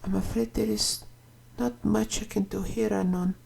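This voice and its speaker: A woman voicing a caring middle-aged ankylosaur mother in an audio drama, gently spoken with a soft husky voice. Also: medium pitch, steady tone, moderate pace. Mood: gentle, regretful. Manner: gentle, mixes in Spanish endearments.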